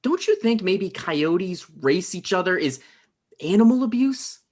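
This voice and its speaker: A woman's voice: mid-range voice, lively intonation, measured pace, a little mellow. A woman playing a wary, skeptical man in a comedy table read, questioning and reluctant. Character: wary, skeptical man named John, reluctant poison buyer.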